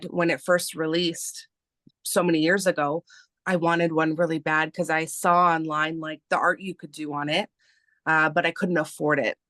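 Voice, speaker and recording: A woman in her thirties from Canada, a native Spanish speaker, talking slowly and hesitantly with many fillers as an interview guest. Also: on the low side, fairly bright tone, no room sound. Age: 30s. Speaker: guest, artist and publisher. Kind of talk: casual interview talk.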